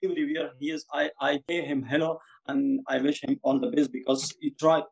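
A man from Afghanistan, an ESOL student, answering podcast questions earnestly and haltingly, with frequent fillers. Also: a little high, flat delivery, vocal fry, a little mellow, breathy edge, highs cut off.

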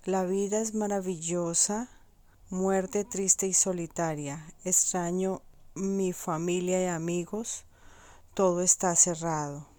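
Woman student, mellow tone, dry close sound. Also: lowish voice, fairly even intonation, unhurried, dry recording. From Colombia. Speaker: language student. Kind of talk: reading her own poem aloud.

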